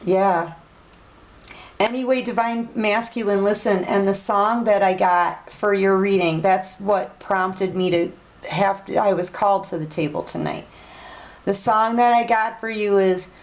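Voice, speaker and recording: A woman giving a casual online tarot reading, chatty and direct, gently scolding her listeners. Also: medium pitch, steady tone, slow pace. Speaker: tarot reader.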